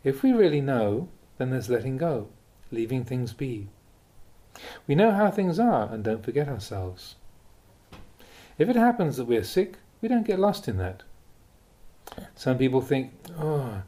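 A man reading Buddhist teachings aloud in a measured, deliberate way with emphatic pauses. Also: mid-range voice, very animated, dark tone. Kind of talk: read-aloud spiritual teaching.

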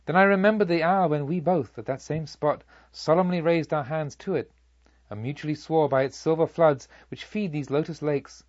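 A man narrating a literary audiobook in a solemn, measured and deliberate manner. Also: fairly high pitch, dry recording, lo-fi sound.

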